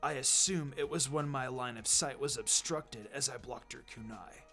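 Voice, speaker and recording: A low-pitched, menacing swordsman villain from an adventure story, acted out by a male reader. He is cocky and mocking, taunting in a smug drawl. Music plays under the voice. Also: moderate pace, bright, forceful tone, lightly acted. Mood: smug, composed.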